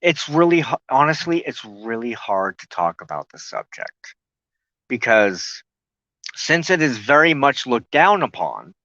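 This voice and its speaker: A man hosting a spiritual talk show, explaining loosely and haltingly, often pausing mid-sentence to search for words. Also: mid-range voice, big pitch swings, bright, forceful tone, no room sound, lo-fi sound.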